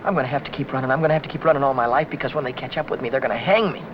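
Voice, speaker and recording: An actor playing a desperate young American mechanic on the run, curt and tense, in 1950s film noir style. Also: male voice, medium pitch, racing, close to an everyday voice. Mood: despairing. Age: young adult. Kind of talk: 1950s film noir acting, with voice-over narration. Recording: noisy muffled 1950s film soundtrack.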